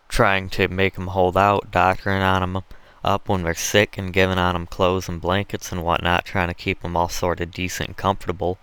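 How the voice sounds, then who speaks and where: A man's voice: sits low, fairly bright tone, clear, resonant tone, no echo. A man voicing a coarse, boastful Southern slave owner in an audiobook, with blunt, folksy dialect. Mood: callous, matter-of-fact.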